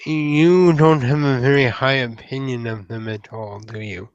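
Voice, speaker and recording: A man playing an earnest, polite young troll newcomer in an improvised roleplay, speaking haltingly in rather formal words. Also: medium pitch, steady tone, clean tone, dry recording, muffled recording, natural voice. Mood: earnest, careful. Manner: hesitant, halting, formal wording. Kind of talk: improvised in-character roleplay dialogue.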